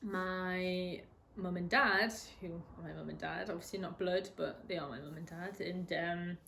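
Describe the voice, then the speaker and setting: A woman's voice: fairly low pitch, a little slow, gravelly, sharp tone, a little hiss or hum. A woman in her twenties speaking candidly in an interview, hesitant, with many restarts and fillers. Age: 20s. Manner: hesitant, lots of restarts and ums. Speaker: interviewee sharing a personal story.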